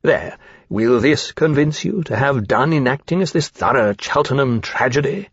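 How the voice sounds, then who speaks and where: A man's voice: medium pitch, expressive, moderate pace, husky, no echo, dull audio. A man playing a haughty, scornful aviator officer with a cutting, impatient manner in a period fantasy audiobook. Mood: impatient, sneering.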